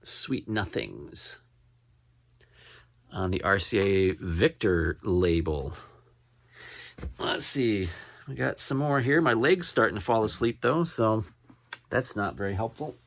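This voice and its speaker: A man chatting casually as he shows off his finds as a collector, rambling and halting, often repeating what he reads out. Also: fairly low pitch, expressive, creaky, fairly bright tone, no echo. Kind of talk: casual show-and-tell to camera.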